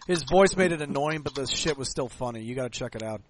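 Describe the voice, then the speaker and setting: A man's voice: medium pitch, animated, fast talker. A man hosting a casual podcast chat, joking and crude with his cohosts. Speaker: podcast host.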